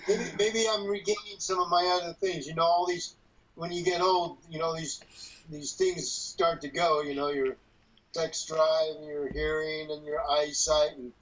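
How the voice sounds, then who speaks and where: A man's voice: fairly high pitch, fairly even intonation, normal speed, fairly bright tone. A man in his early seventies talking casually and in a rambling, folksy way as he leads a group call. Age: early 70s. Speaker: church leader leading a group call. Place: dim room at home, on a video call. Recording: dull, lo-fi video call audio.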